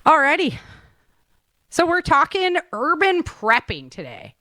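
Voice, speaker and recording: A woman's voice: high-pitched, wildly swooping pitch, measured pace, sharp tone, no room sound, clean studio sound. An American woman hosting a podcast in a casual, chatty, slangy way. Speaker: podcast host. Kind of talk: casual upbeat podcast chat.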